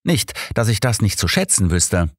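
A man's voice: lowish voice, big pitch swings, normal speed, slightly rough, no room sound, studio quality. A man reading an older doctor, a detective's loyal and puzzled sidekick, in a formal, incredulous manner for an audiobook.

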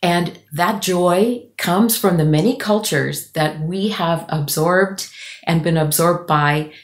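Lower-voiced woman storyteller in echoey room. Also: takes it slowly. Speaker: guest author. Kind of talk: conversational storytelling in a podcast interview. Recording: roomy, echoing podcast audio.

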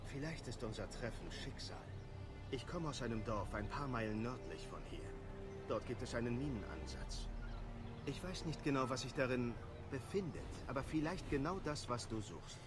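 An actor playing a resolute young warrior in a German video game dub, speaking in a serious, earnest way. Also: male voice, mid-range voice, lively intonation, moderate pace, lightly acted. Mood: grave. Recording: game audio with music behind.

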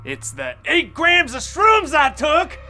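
A man's voice: very high-pitched, wildly swooping pitch, slow pace, sharp tone, faint music behind, exaggerated voice. An actor playing a cocky, theatrical man tripping on mushrooms in a comedic audio drama. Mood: unhinged. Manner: theatrical, declamatory.

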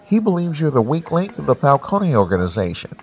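A servile little informant, acted by a man, stammers nervously. His voice leaps up and down with a creaky edge, deferential throughout, in a comic crime drama. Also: a little high, very animated, fairly quick. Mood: nervous, fawning.

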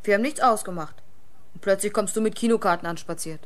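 An actress playing a defiant, exasperated young woman in a German radio crime play, with a brisk, snappish manner. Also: medium pitch, natural voice.

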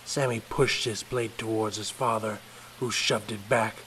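A man's voice: lowish voice, fairly even intonation, a little slow, fairly bright tone, a little hiss or hum. A man reading a scripted horror story as its narrator.

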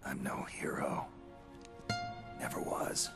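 An actor playing a gruff, weary aging soldier hero in a video game, speaking in terse, deadpan, gravelly hushed lines. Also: male voice, a bass voice, takes it slowly, exaggerated voice. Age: older. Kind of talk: video game cutscene acting. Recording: loud music behind.

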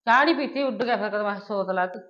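A woman's voice: medium pitch, fast, lo-fi sound, natural voice. A woman acting an indignant mother of a married daughter, blunt and complaining, with a Punjabi accent, in a conversational family drama.

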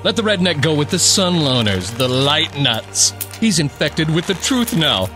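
A man's voice: a little high, sing-song, a little slow, bright, forceful tone, faint music behind, full character voice. A man playing a pompous, gloating vampire cult leader in a melodramatic horror-comedy radio play. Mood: smug. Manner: theatrical, commanding, pompous.

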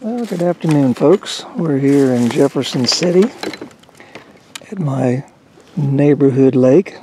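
A woman's voice: low-pitched, warm tone, a little hiss or hum. A woman casually commenting on her fishing from a small boat on a lake, chatting folksily to the fish she catches, recorded on a boat-mounted camera. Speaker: fishing vlogger.

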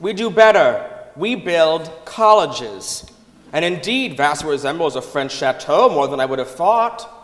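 A man's voice: a little high, big pitch swings, unhurried. A man giving a dramatic reading of historical texts on the stage of a large hall. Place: large concert hall stage before an audience. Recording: echoey concert hall with long reverb.

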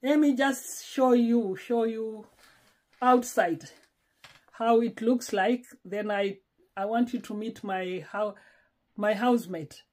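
A woman's voice: fairly high pitch, slow pace, a little mellow. A woman from Kenya, around her sixties, chatting to a phone camera at home, pleading and repeating her words. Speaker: vlogger. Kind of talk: casual vlog chat to camera. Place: small home, indoors. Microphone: phone camera held at arm's length.

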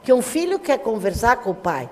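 An older woman with a fairly high voice, reminiscing in a TV studio and talking with her hands. Her words ring with a long studio reverb. She tells her memories at an easy storyteller's pace. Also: normal speed. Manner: warm storytelling, animated hand gestures. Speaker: teacher and historian, interview guest. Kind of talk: reminiscing interview talk. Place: small TV studio.

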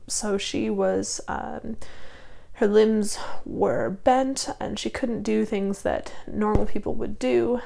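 Young woman, casual book vlogger, very gravelly from a cold, rambling delivery. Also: fairly high pitch, darkish tone. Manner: rambling, many ums and restarts. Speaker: book reviewer. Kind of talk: casual book review vlog. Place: small room in front of bookshelves.

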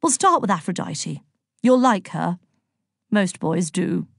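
A woman's voice: lowish voice, animated, slow, gravelly, husky, no room sound, studio quality, heavily acted. A woman voicing a jovial, bluff old-fashioned male god with a hearty, roaring, pompous manner in a children's storybook.

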